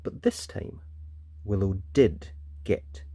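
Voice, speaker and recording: A children's story reader and host giving animated storybook narration, with dramatic emphasis and pauses. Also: low voice, breathy edge, no room sound.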